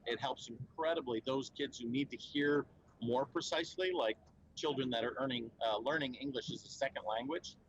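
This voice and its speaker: A man's voice: mid-range voice, normal speed, dull audio, slight background noise. A man speaking as a company spokesman at a trade show, explaining in an earnest, fluent way with frequent fillers and restarts. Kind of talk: conversational explainer interview.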